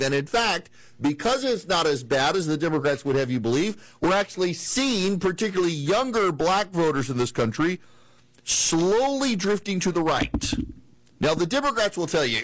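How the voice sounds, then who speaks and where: A man's voice: sits high, fairly bright tone, dry recording, rough recording. Male talk radio host from the American South, conversational monologue, dry close mic. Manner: rambling, many restarts and fillers.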